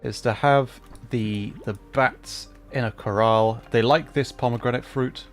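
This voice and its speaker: A man's voice: mid-range voice, steady tone, measured pace, faint music behind. A man casually chatting on a gaming livestream, thinking aloud in a hesitant way. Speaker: streamer. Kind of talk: casual livestream gameplay chat.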